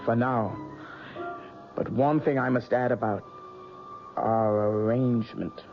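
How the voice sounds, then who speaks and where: An actor playing a sinister old woman fortune teller who speaks in a soft, creepy, coaxing way, in a radio drama. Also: medium pitch, fairly even intonation, slow, soft background music. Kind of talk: 1970s radio drama acting. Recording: muffled old radio broadcast.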